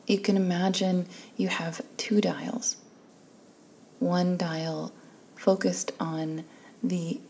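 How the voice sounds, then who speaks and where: A woman leading a guided meditation in a soft, breathy, calm and soothing voice, leaving long pauses between phrases. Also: lowish voice, a little hiss or hum. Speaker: guided relaxation instructor.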